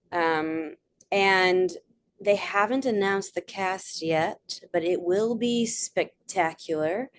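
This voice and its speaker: A young woman talks through a presentation in a chatty, easygoing way, full of little asides and filler words. Her voice sits at an ordinary middle pitch for a woman. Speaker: presenter of a theater talk. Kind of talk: casual online presentation.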